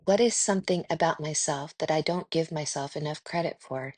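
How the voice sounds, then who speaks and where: A woman leading a guided meditation in a gentle, soothing, unhurried way with pauses. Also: low voice, dry recording.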